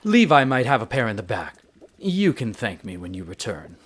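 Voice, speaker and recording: Casting: male actor as tsundere elf alchemist, pompous and precise, mid-pitched with swooping delivery, audio roleplay. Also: big pitch swings, normal speed, a bit bright. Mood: smug, bossy. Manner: pompous, precise, theatrical enunciation. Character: tsundere male elf archaeologist-alchemist, haughty, brisk, teasing scholar. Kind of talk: scripted audio roleplay addressed to the listener.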